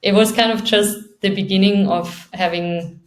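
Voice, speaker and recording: A woman's voice: medium pitch, fairly even intonation, moderate pace, reverberant, poor audio. A young woman from Austria with an Austrian accent, an athlete talking casually in an interview and laughing often between phrases. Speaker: guest, downhill skateboarder.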